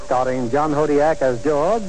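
A man's voice: a little high, a little slow, darkish tone, slight background noise, rough recording. A man announcing a radio drama from a script in formal 1940s broadcast style. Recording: old 1940s radio recording, faint music.

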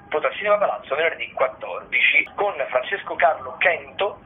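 A man's voice: on the high side, sing-song, a little fast, soft background music. Tinny-sounding male radio host. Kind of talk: radio events listings presentation, semi-improvised. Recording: tinny phone-like radio sound, faint noise.

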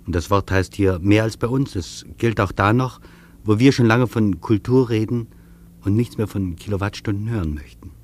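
An actor playing an earnest, reflective traveller in a scripted radio feature, speaking deliberately and rhetorically. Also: male voice, lowish voice, darkish tone, lightly acted. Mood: reflective, explanatory. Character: earnest reflective male traveller recounting an imagined interview. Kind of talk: scripted German radio feature, literary narration.

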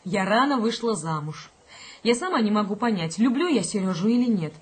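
An actress playing a young pregnant wife in a radio play, doubtful and fretful yet stubborn, with a plaintive, slightly husky delivery. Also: female voice, mid-range voice, expressive, a little fast. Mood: stubborn, troubled. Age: young adult. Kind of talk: naturalistic radio play acting.

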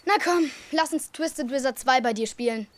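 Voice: sits high, normal speed, close to an everyday voice. An actor playing a scheming, irritable middle-school boy in a German audio drama, with a sarcastic, bossy manner. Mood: grumbling.

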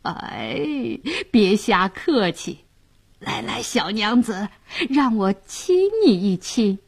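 A lecherous, tipsy nobleman played by a woman storyteller, pompous and wheedling. His words drag out slowly and his pitch lurches up and down like a stage villain's. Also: big pitch swings, slightly rough, exaggerated voice. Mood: leering wheedling. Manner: leering, slurred, drawn-out wheedling. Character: lecherous, drunken, self-important nobleman villain.

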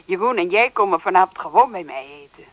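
An actress in a radio drama playing a forthright, supportive friend, brisk and direct, heard through a telephone filter. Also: female voice, medium pitch, animated, no echo. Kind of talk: naturalistic Dutch radio drama acting. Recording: dull, narrow telephone-line sound.

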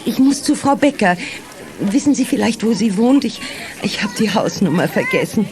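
A woman's voice: medium pitch, lively intonation, normal speed, background noise, close to an everyday voice. Actress playing a flustered, swooping elderly grandmother. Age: older. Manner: hesitant, stammering, fussily polite. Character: polite, flustered elderly grandmother returning a lost cat. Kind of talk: German children's radio play acting.

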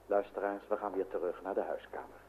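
An actor playing a formal narrator, addressing listeners in an old radio drama. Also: male voice, deep voice, steady tone, fast, soft background music, natural voice. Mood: measured. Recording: tinny, noisy old radio recording.

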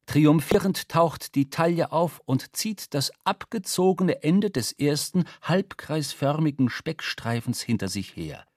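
A man's voice: fairly high pitch, lively intonation, a little slow, few pauses, no room sound, studio quality. A man narrating a literary radio drama with vivid, precise storytelling. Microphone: close studio microphone.

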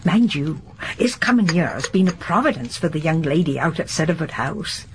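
A woman's voice: sits low, expressive, moderate pace. An actress playing a garrulous middle-aged village landlady in a radio drama, with a chatty, folksy manner. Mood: chatty, hospitable. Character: gossipy, garrulous older village landlady and charwoman. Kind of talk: broadcast radio drama acting.

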